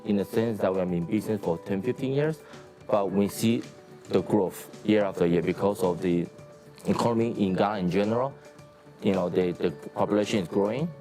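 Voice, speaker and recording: Taiwan-born guy in his 40s, owns a hotel, low mellow voice, lapel mic outside. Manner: plain, measured business talk with pauses. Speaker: hotel and restaurant owner. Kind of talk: interview. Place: outdoor garden of a hotel.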